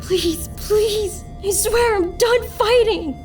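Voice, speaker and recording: A woman playing a desperate young captive in an animated fan drama, pleading tearfully with a tormentor. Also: very high voice, slow, recorded from a distance, over music.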